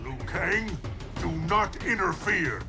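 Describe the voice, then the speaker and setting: A commanding thunder god of a fighting game, stern and distrustful. His slightly high male voice moves dramatically up and down in formal, imperious phrases, with loud music behind. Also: animated, slow pace, heavily acted. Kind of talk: video game cutscene acting. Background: loud cinematic music.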